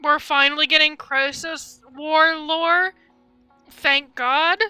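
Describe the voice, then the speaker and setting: A young woman gives casual live game commentary in a high, very bright voice. She rambles chattily through asides, recorded dry and close with faint music behind. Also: flat delivery, takes it slowly, dry recording. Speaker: game streamer.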